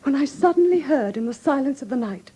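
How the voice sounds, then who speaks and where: A woman's voice: high voice, animated, a little fast, warm tone. An actress playing a frightened, genteel young woman with polite, earnest Received Pronunciation, in a classic radio drama. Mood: fearful, shaken. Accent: English Received Pronunciation.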